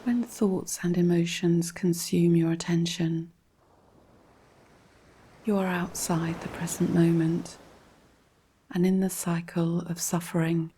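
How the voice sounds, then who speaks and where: A woman narrates a guided relaxation in a slightly low, mellow voice. Her delivery is very slow, calm and measured, with a soft breathiness as she gives instruction. There is faint noise behind her.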